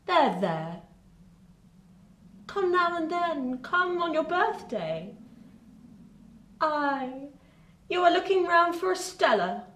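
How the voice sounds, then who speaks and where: An actress playing a bitter, haughty older English lady in a period radio drama, speaking slowly and imperiously in a theatrical manner. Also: extremely high pitch, big pitch swings, fairly bright tone, heavily acted. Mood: mocking, bitter. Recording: roomy, distant from the mic.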